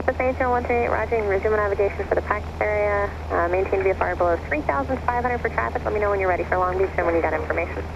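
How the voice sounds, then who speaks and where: Air traffic controller, clipped phraseology, quick delivery, gravelly fry, dull radio sound. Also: mid-range voice. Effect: aircraft radio. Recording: muffled noisy aviation radio with aircraft noise.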